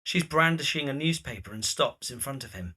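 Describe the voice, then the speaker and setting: A man's voice: medium pitch, lively intonation, a little fast, sharp tone, breathy edge. A man reading scripted, present-tense scene narration for an audio drama.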